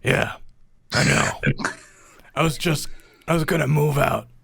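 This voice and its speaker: A man playing a hesitant, folksy middle-aged farmer with a halting, stammering delivery in an amateur radio play. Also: a little high, fairly even intonation, gravelly, fairly bright tone, pitched above the performer's own voice, exaggerated voice. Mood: resigned.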